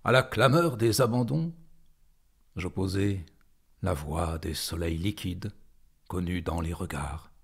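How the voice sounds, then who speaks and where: A man reciting poetry in a dramatic style, phrasing deliberately with frequent pauses and a husky voice. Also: deep voice. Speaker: poetry performer.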